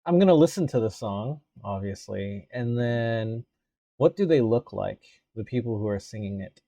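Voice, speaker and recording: A man's voice: lowish voice, lively intonation, moderate pace, gravelly, warm tone, no echo. Hesitant man, lots of "like". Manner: hesitant, full of "like". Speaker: player. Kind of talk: casual tabletop game chat.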